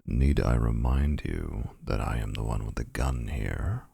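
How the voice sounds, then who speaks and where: A man playing a gravelly, smug vampire flirt. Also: rumbling low, measured pace, dark tone, no room sound, full character voice. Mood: scornful.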